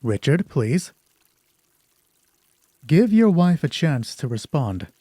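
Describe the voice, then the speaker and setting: A polite, measured doctor doing marriage counseling in an audio drama, played by a man. He probes calmly and placates, with a husky, unhurried voice in a dry recording. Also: fairly high pitch, dry recording, full character voice. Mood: placating.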